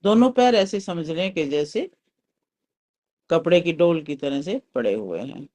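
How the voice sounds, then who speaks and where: A man leading a guided meditation as a teacher, calm and soothing, giving unhurried instructions. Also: fairly high pitch. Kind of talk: guided meditation with breath counting and mantra chanting.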